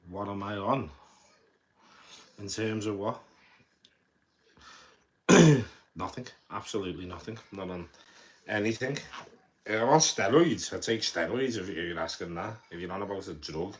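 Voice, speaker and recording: Deep-voiced Scouse man chatting casually. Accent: Liverpool (Scouse). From Liverpool, England. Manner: rambling, repeats words, swears casually. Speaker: livestreamer. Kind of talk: casual live stream chat with viewers. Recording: faint background noise.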